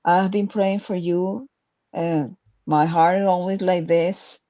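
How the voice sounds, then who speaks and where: Through slightly dull audio, a woman speaks non-native English in an earnest, conversational way. Her voice is mellow and a little low, and she goes slowly. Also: fairly even intonation. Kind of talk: casual, earnest talk.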